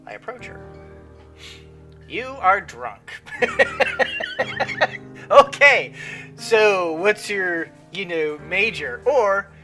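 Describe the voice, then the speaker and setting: A man's voice: high voice, wildly swooping pitch, extremely slow, pauses often, pushed and bright, faint music behind. A man hosting a gaming channel, rambling through casual let's-play commentary with lots of fillers, sniffly from a cold. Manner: rambling with many fillers and restarts.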